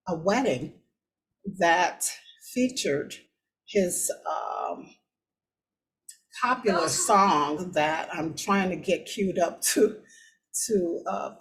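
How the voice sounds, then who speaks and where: An American woman hosting a talk show, giving casual news commentary in a conversational, unhurried way with hesitant pauses between phrases. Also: lowish voice, a little hiss or hum. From United States.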